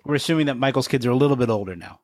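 A man with a mid-pitched voice chats casually as a podcast co-host. The recording is very dry, free of room sound. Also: very fast, darkish tone, dry recording.

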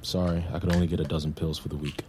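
A man's voice: low voice, level tone, very fast, noisy background, close to an everyday voice. An actor playing an earnest, smitten younger man in a naturalistic audio drama. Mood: casual, probing. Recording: music clearly under dialogue.

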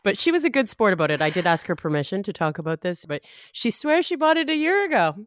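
A woman's voice: mid-range voice, sing-song, fast, dry recording, studio quality. A woman radio host telling a funny story in casual chat, self-deprecating.

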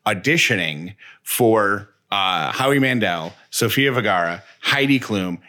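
Male podcast co-host, casual talker, lower register with a sharp, forceful edge. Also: expressive, a little slow.

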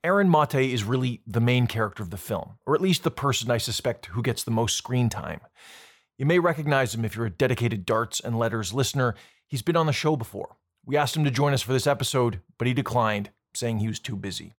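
A man's voice: mid-range voice, lively intonation, a little fast. A man hosting a podcast, narrating a scripted documentary story in a conversational way.

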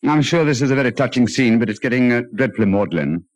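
An actor playing a clever, self-assured British detective in a 1940s radio drama, urbane and theatrical, with a hesitating drawl. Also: male voice, mid-range voice, expressive, fast talker, no room sound, lightly acted. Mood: brisk, amused.

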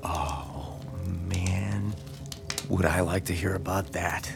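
Lonely devoted fan, gravelly creak, hesitant, stammering, self-deprecating, audio drama, music underneath. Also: male voice, sits low, steady tone, takes it slowly, heavily acted. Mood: eager, nervous. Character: lonely, timid small-town man, eager devoted fan. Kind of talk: naturalistic audio drama acting. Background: music behind the voice.

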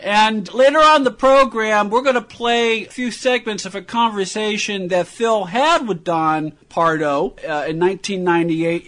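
A man's voice: high-pitched, a bit bright. A man hosting an interview program, explaining and introducing segments in a conversational way. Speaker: radio program host.

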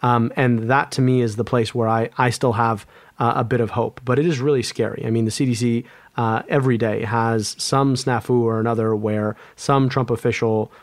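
A man's voice: medium pitch, steady tone, normal speed, no room sound. Man, epidemiologist guest, earnest, stumbling restarts, dry close sound. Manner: earnest, many restarts and repeated words. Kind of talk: conversational interview.

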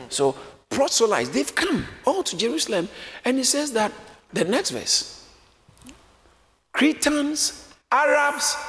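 A man preaching a sermon, lively and often acting out the voices of a crowd, joking with his congregation. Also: on the high side. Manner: animated, acts out crowd voices, jokes with congregation. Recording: echoey hall with faint room noise.

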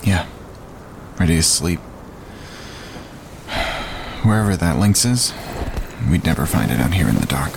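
Audio drama: easygoing, doubting, weary male camper; low register, lively intonation, outdoor noise behind. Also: unhurried, creaky, pauses often, full character voice. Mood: tired, teasing. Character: drowsy, sceptical, easygoing man on a wilderness trip. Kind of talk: naturalistic audio drama acting. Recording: noisy outdoor ambience behind the voice.

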